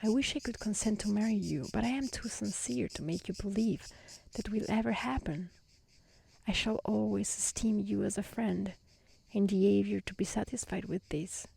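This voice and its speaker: A woman voicing a sincere, tender-hearted young maiden in a soft-spoken, earnest storybook reading. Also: mid-range voice, moderate pace, vocal fry, breathy edge. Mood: uneasy, sincere. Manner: earnest, pleading, polite.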